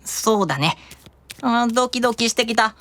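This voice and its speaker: A woman's voice: on the high side, expressive, normal speed, fairly bright tone, voice pushed up. A woman voicing an earnest, stubborn little angel boy, childlike and theatrical, in an anime-style audio drama. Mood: excited, nervous.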